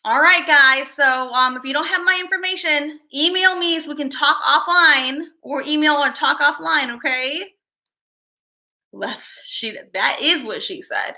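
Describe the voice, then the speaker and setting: Chatty high-voiced woman on a livestream. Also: measured pace, sharp tone. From Chicago, USA. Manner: rambling, chatty, reads viewer names aloud. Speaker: livestream host. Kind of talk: casual livestream chat.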